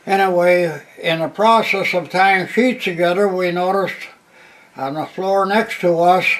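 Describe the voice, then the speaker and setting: A man, a war veteran telling his story plainly with restarts and a loud voice. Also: fairly high pitch, poor audio. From Minnesota, USA. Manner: plain unhurried retelling with restarts. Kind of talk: oral history storytelling.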